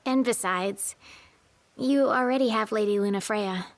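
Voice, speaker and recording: A woman's voice: medium pitch, a little slow, natural voice. A woman playing a cheerful, friendly, teasing teenage girl in a video game cutscene. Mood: playful, upbeat.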